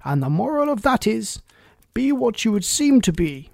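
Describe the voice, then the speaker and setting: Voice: mid-range voice, big pitch swings, moderate pace, creaky, darkish tone, no room sound, voice pushed up. A reader voicing a pompous, moralizing and cloyingly agreeable Duchess, a rambling, self-pleased woman, in a storybook.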